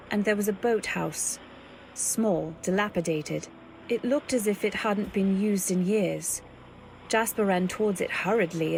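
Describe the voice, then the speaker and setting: An actress playing an anxious young wife, telling her story in measured, literary old-time radio drama style. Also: medium pitch, darkish tone, a little hiss or hum, lightly acted, low-quality recording.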